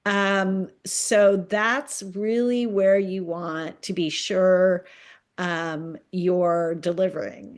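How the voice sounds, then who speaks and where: A woman's voice: on the low side, a little mellow. Earnest woman instructor, frequent ums. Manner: earnest, many filler ums. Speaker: college instructor. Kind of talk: recorded class talk to students.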